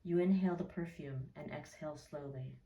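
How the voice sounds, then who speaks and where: A woman narrating a guided meditation in a soothing, measured way, leaving gentle pauses. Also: low voice. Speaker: therapist leading a guided meditation. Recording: roomy echo, distant from mic.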